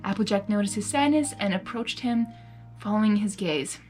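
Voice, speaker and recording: A woman reading fan fiction aloud, narrating and breaking into animated, giggly asides. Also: mid-range voice, normal speed. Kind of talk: story narration with excited casual asides. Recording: music behind the voice. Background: music.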